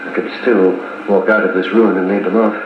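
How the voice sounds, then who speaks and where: An actor playing a wounded, stoic army major with clipped, wry, understated speech in a 1950s radio serial. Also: male voice, low-pitched, level tone, fairly quick, phone-quality sound, natural voice. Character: wounded British army major, stoic, wryly good-humoured. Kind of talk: 1950s radio drama acting. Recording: 1950s wire recording, thin, echoey, noisy.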